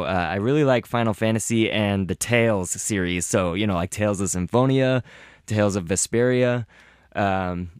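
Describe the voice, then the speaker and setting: A man in his twenties speaks in a low, smooth, resonant voice, relaxed and a bit self-deprecating. The sound is dry and close, with no room around it. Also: dry recording. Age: 20s. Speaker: fan voice actor. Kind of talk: casual interview answer.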